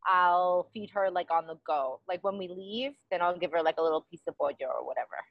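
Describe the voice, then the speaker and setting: Chatty woman from Queens, relaxed and casual. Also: lowish voice, fairly quick, vocal fry, dry recording, muffled recording. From Queens, New York. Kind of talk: casual interview.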